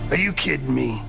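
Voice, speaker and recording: A gruff older American soldier in a video game, bitter yet fiercely loyal. His voice is gravelly and he talks slowly, in blunt, salty language, over clear background music. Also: medium pitch. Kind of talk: video game cutscene acting. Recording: music behind the voice.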